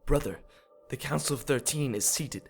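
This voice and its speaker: A man's voice: medium pitch, moderate pace, creaky, natural voice. A man playing a servile acolyte of a secret order in an audio drama, hesitant, deferential and stammering.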